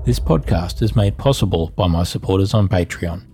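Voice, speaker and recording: A man with a low voice delivers a scripted podcast credits read as announcer. Clear music plays behind him. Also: animated, normal speed.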